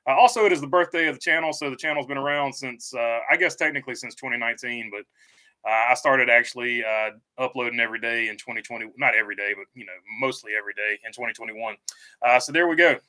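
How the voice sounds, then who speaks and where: Man, bright halting voice. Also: medium pitch, rough recording. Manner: halting, many fillers, reads out viewer comments. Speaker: livestream host. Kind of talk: casual live stream chat.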